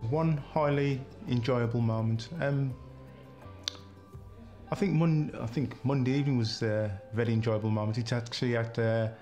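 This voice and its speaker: A man in his fifties reflects in an interview with very little rise and fall. His pitch is average and music plays behind him. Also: fairly even intonation, moderate pace, mellow. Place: small office indoors.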